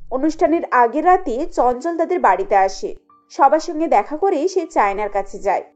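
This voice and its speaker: A woman's voice: high voice, lively intonation, normal speed, a little mellow, dull audio, soft background music. A woman narrator giving scripted storytelling for a cartoon.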